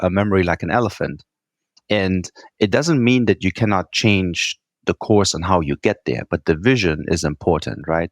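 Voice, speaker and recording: A man's voice: sits low, no room sound, clean studio sound. A man from Germany with a German accent, answering interview questions earnestly and often checking in with "right?". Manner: earnest, repeats words, frequent "right?" tags. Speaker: guest executive.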